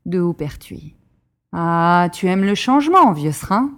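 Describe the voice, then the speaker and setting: A woman's voice: lowish voice, very animated, a little slow, fairly bright tone. A woman playing a worldly, flighty Parisian lady in an audio drama, imperious, mocking and theatrical. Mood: piqued, scheming. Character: flighty, mischievous, worldly Parisian mistress of the house.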